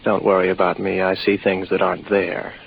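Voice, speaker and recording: A low, gravelly space officer, isolated and tired of his own hallucinations. He speaks with wry, deliberate weariness in the manner of 1950s radio drama, on a dull old broadcast recording with faint noise. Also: monotone. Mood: wry, guarded.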